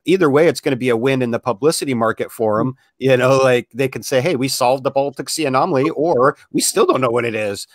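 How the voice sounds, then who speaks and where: Casual man, mid-pitched, dry close sound. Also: fast talker, few pauses, no echo. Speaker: interview panelist. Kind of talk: casual discussion.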